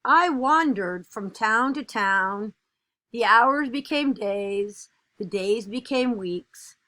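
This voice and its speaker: A woman voicing an earnest, dutiful egg from a children's picture book, with expressive and deliberate storytelling. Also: a little high, exaggerated voice. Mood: reflective.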